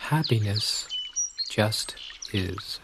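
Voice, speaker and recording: A man narrating a guided meditation, calm and unhurried, speaking in short scripted phrases with long pauses. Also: on the low side. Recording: clear nature-sound noise under voice. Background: birdsong and pouring water.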